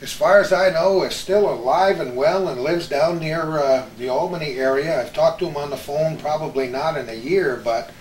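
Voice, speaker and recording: A man's voice: on the high side, moderate pace, hardly any pauses. Older man, roomy distant recording. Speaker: former radio announcer, host. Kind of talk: reminiscing panel chat. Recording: roomy, distant microphone, faint noise.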